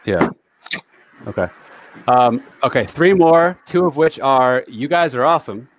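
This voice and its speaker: A man's voice: mid-range voice, lively intonation, measured pace, slight background noise. A man from the New York area hosting a casual livestream over a video call, chatty and self-deprecating as he reads viewer comments aloud. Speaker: livestream host. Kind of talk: casual live-stream chat.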